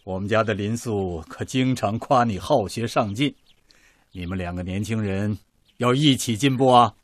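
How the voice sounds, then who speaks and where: A man's voice: lowish voice, normal speed, dry recording, natural voice. An actor playing a principled, doting middle-aged father and senior official in a Chinese radio drama, authoritative and avuncular.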